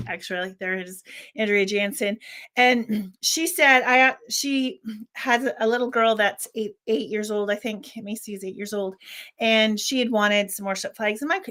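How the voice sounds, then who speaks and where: A woman hosting her own channel, giving a casual unboxing review. She thinks aloud, often restarting sentences, and her voice is a little squeaky today. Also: a bit bright. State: slightly squeaky voice. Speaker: worship flag maker and channel host.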